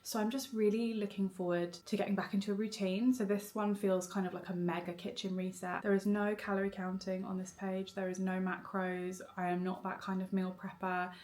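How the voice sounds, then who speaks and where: A young woman vlogging on her phone in a relaxed, chatty way, a bit bunged up from a cold. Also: mid-range voice, a little fast, few pauses. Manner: chatty and relaxed, rambling with frequent "like". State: congested, bunged up nose. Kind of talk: chatty vlog talk to camera. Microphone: phone camera.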